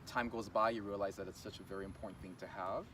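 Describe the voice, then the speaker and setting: A man's voice: on the low side, quick pace. A young man from Los Angeles chatting casually as an interview guest, rambling with lots of fillers. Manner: relaxed, rambling with many fillers. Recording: distant mic, loud traffic outdoors.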